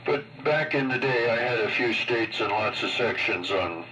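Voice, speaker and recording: A man's voice: medium pitch, expressive, moderate pace, far from the mic. An older man chatting casually and ramblingly as an amateur radio operator, heard over a radio transmission. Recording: muffled, noisy ham radio transmission.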